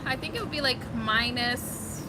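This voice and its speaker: Chatty young woman, loud street noise. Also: fairly high pitch, moderate pace. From Zambia and Finland. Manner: chatty, many fillers and laughs. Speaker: guest. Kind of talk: casual interview chat. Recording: loud traffic noise outdoors.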